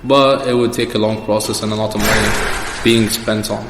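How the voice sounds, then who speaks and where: A man's voice: lowish voice, steady tone, fairly quick, a bit bright. A young man answering questions as the guest in a casual personal interview. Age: young adult. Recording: echoey reverberant room with background noise.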